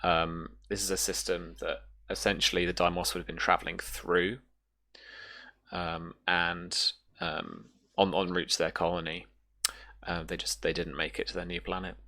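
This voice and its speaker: A game master improvising descriptive narration for a tabletop role-playing game in a halting way. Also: low-pitched, flat delivery, gravelly, bright, forceful tone.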